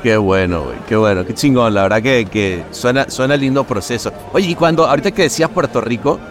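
A man hosting a casual interview, relaxed and slangy, often saying "güey". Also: medium pitch, a little fast. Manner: relaxed, slangy, frequent "güey" and "qué chingón". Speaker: podcast host.

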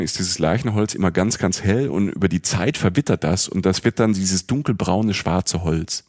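Chatty low-voiced male podcast host. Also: darkish tone, no echo, clean studio sound. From Palatinate, Germany. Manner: chatty, rambling storytelling with many fillers. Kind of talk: casual travel chat.

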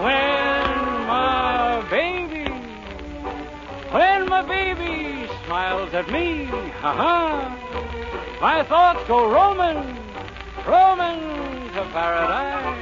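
High-pitched hammy man crooner, old radio. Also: big pitch swings, very slow. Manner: theatrical, crooning with spoken asides. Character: hammy jazz crooner pleading with his audience. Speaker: singer and comedian. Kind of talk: 1940s radio comedy song act. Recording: muffled old radio recording, music behind.

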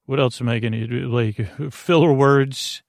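A man with a creaky voice hosting a sleep podcast, giving a soothing, meandering bedtime monologue full of tangents, hesitations and filler words. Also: medium pitch, fairly even intonation, a little slow, dry recording, studio quality. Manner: soothing, lulling.

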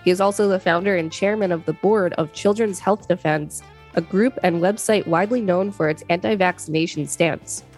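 A woman with a somewhat low voice co-hosts a podcast. She reads article text aloud and drops in casual opinions. Soft music plays faintly behind her. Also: normal speed. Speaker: podcast co-host.

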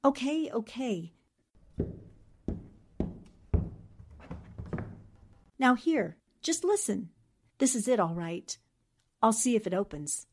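Resolute American girl reporter, acted by a woman, 1940s radio mystery. Earnest, dramatic, very lively intonation. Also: fairly high pitch, measured pace. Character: brave, serious 1940s newspaperwoman investigator.

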